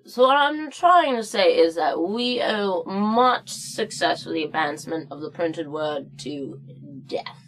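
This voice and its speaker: Woman playing a slow-talking boozy old biddy. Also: lowish voice, animated, low-quality recording. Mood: wry lecturing. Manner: theatrical, rambling, tipsy, halting delivery. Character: crotchety, boozy, foul-mouthed old woman storyteller. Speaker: story-time host. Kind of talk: comic storybook narration and history lesson.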